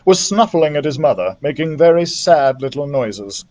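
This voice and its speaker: Man reading a story, lively higher-pitched voice. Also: moderate pace. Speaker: library storyteller. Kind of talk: expressive children's story reading.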